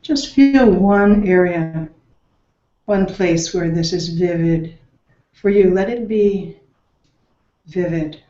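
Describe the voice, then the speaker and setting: Slow, low-voiced woman guiding meditation. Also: warm tone. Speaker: meditation teacher. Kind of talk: guided meditation instruction. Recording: roomy echo, dull lo-fi sound.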